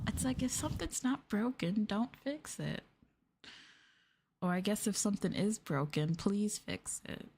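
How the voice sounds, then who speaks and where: A woman streamer chatting casually in a soft, hushed ASMR style, rambling gently and sometimes drifting into whispers. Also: lowish voice, noisy background. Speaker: streamer and VTuber host. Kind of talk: soft-spoken ASMR casual chat to live viewers.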